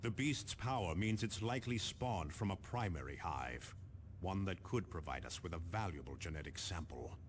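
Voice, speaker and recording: An actor playing a formal, resolute space marine captain giving mission briefings in a video game. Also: male voice, low voice, expressive, a little hiss or hum. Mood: measured. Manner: formal, commanding, deliberate.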